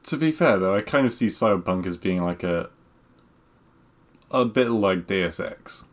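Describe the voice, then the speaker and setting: A man co-hosting a podcast in casual chat, talking in a halting, hesitant way. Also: low-pitched, expressive. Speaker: podcast co-host.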